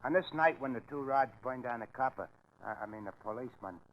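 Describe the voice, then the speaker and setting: A man's voice: medium pitch, fast, natural voice. A man acting a chatty street photographer in a 1940s radio crime drama, breezy and full of streetwise slang. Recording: muffled 1940s radio recording.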